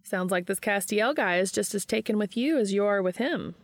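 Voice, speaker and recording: A woman's voice: medium pitch, a little fast, no room sound, lightly acted. A woman voicing a young man, a wry, grudging but protective friend in a story.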